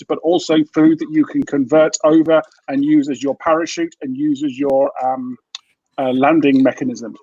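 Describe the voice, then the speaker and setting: A British man from England improvising a mock startup pitch on a video call, hesitant and full of fillers. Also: darkish tone, dry recording. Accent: British English. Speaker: party game participant. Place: home room, video call. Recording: dull dry video call audio.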